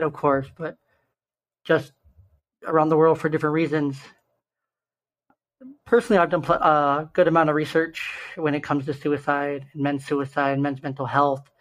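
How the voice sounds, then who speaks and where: A young man with a slightly raspy, hoarse voice, earnestly hosting a solo podcast and slowing down to stress key numbers. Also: on the high side, rough recording. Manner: earnest, stresses numbers slowly, self-interrupting restarts. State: hoarse from shouting the day before. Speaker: podcast host.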